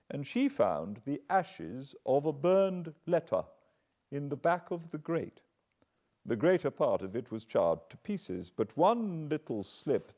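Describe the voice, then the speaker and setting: A man's voice: medium pitch, dark tone, pitched above the performer's own voice. A British man voicing a deferential, earnest middle-aged butler who speaks respectfully and apologetically; the recording sounds slightly dull.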